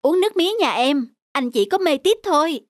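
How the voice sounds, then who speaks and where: A woman voicing a sharp-tongued, pert young female street vendor in a cartoon. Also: high voice, very animated, no room sound, clean studio sound. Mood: coaxing. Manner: pert, quick to argue. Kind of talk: cartoon dubbing. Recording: music behind the voice.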